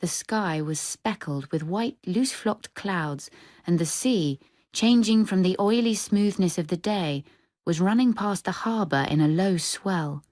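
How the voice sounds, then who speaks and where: Low-pitched woman reading a story. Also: a little mellow, dry recording, studio quality. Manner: measured, descriptive storytelling. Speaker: short story reader. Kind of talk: scripted literary narration for radio drama.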